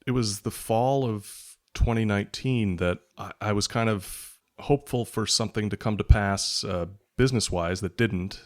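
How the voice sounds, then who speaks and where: Interview guest: man, low register, reflective conversational delivery. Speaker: guest, author.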